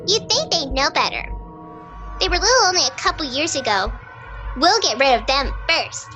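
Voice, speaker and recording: A woman's voice: high voice, expressive, normal speed, pushed and bright, soft background music. A woman acting a stubborn, secretive young girl absorbed in her games in an amateur audio drama. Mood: coolly scheming.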